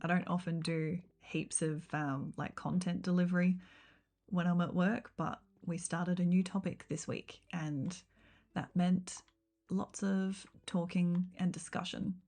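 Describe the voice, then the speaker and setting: A woman, chatting casually on a game livestream. Her voice is a little rough and croaky, and she meanders along with frequent ums and likes. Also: lowish voice, level tone, measured pace, dark tone. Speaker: game streamer. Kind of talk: casual livestream chat while building in a game.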